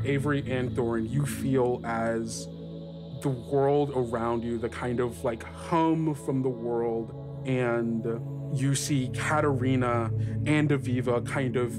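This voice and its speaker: A man narrates a tabletop game as its game master. His delivery is slow and halting, feeling for each phrase, with music clearly playing behind him. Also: medium pitch. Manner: halting, searching for words.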